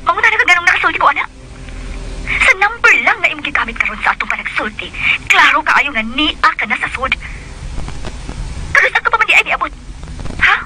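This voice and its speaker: A woman's voice: high-pitched, very animated, brisk, pauses often, background noise. A woman acting an anxious, outspoken young woman in a radio drama, emotional and emphatic. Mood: indignant.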